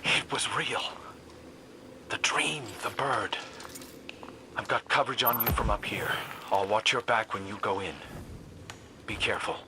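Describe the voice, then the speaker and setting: An actor playing a troubled, brooding scout haunted by dreams, speaking in a hushed, halting way in a video game. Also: male voice, lowish voice, noisy background, natural voice. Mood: hushed, intent. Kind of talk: dramatic video game dialogue.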